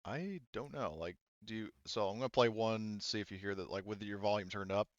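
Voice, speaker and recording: A man speaking casually and haltingly, with some swearing, as game master of an online tabletop roleplaying game. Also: on the low side, highs cut off.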